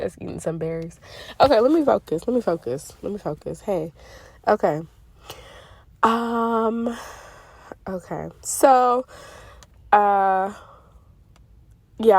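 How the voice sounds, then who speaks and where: A woman's voice: lowish voice, gravelly, no room sound. A middle-aged woman with a congested voice, chatting in a rambling way with lots of fillers about her dreams, talking from a parked car. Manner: chatty, meandering, many fillers and self-corrections. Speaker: spiritual vlogger sharing dreams. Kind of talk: casual rambling monologue.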